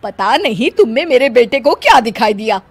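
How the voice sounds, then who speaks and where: A woman's voice: on the high side, sing-song, normal speed. A woman voicing a hot-tempered, bossy mother-in-law's ghost who scolds and taunts in a cartoon story. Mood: scolding, bossy. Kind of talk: Hindi cartoon story character acting.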